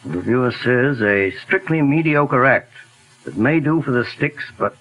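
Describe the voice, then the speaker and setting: Man playing calm radio detective, husky, measured, polite, 1940s radio hiss. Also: mid-range voice, dull audio, natural voice. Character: calm methodical male detective, middle-aged. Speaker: detective lead. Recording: hissy 1940s radio broadcast.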